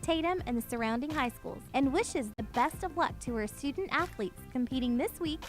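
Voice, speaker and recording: A woman's voice: sits high, expressive, normal speed. A woman reading a scripted community message as a commercial announcer. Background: faint music.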